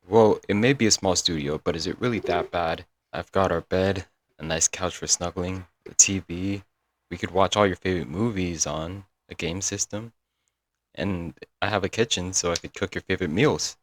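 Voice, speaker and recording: A man's voice: low voice, dry recording. A man playing a doting, apologetic young yandere kidnapper in a comedic roleplay audio drama, coaxing and rambling intimately to the listener. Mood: sheepish, affectionate. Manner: coaxing, rambling, pet names, nervous chuckles.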